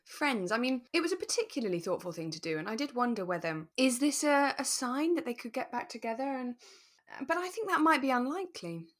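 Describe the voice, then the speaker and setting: A British woman co-hosting a relaxed chat about radio drama, expressive and enthusiastic in her delivery. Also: sits high, brisk. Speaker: podcast co-host and actor.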